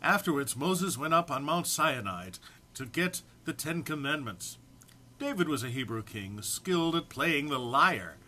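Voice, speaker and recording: A man's voice: on the high side, animated, measured pace, sharp tone, slight background noise. A man informally introducing and reading comic text aloud in a mock-solemn manner, struggling to keep from laughing. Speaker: amateur reader recording for an online post.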